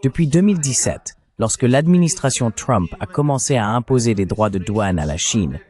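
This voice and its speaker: A man's voice: medium pitch, animated, normal speed, a little mellow. A man delivering scripted political and economic commentary in a formal, didactic manner. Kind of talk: scripted analytical narration.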